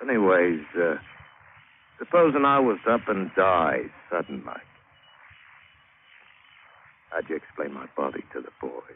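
An actor playing a rough, wily British seaman who tells his tale in salty, wry slang, in old radio drama style. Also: low-pitched, moderate pace. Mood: wary bargaining. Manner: salty colloquial sailor talk, wry storytelling. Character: rough, wily British seafaring adventurer spinning his yarn. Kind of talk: 1940s-50s radio drama acting and narration. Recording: tinny, noisy old radio broadcast.

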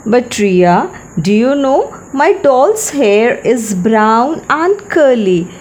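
Woman playing a sweet, very slow sing-song little girl. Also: fairly high pitch, very animated, darkish tone, slight background noise. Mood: doting. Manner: sing-song, wide-eyed, drawn-out storybook delivery. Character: sweet young girl, playful younger sister doting on her doll. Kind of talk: children's story dialogue.

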